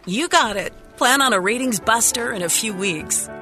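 A woman's voice: fairly high pitch, lively intonation, moderate pace, bright, forceful tone, faint music behind. An actress playing a determined, caring and persistent female news reporter in a radio drama. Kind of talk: scripted radio drama acting.

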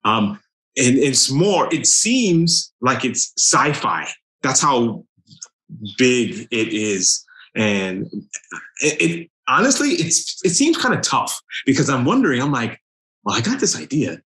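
A man's voice: mid-range voice, big pitch swings, takes it slowly, a bit bright, poor audio. A man who is a guest on a faith talk show, telling a personal story in a loose, hesitant way. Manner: hesitant, many fillers and restarts while telling a story. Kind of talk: casual conversation.

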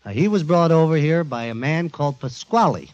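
A man presenting a show to a studio audience in an emphatic, informal, jokey warm-up style, on a 1940s radio recording. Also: a little high, animated, a little fast, dull audio. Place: before a live studio audience.

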